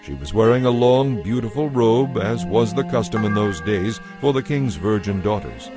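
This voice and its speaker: Low-voiced solemn male narrator. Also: lively intonation, moderate pace, music in the background. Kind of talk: scripted dramatic scripture narration.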